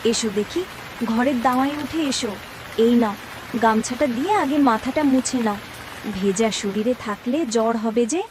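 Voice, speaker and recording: A woman voicing a fretful, caring village housewife and mother in a cartoon story, exclaiming and scolding, with steady rain noise. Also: fairly high pitch, normal speed, close to an everyday voice, rough recording. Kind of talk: animated cartoon story acting.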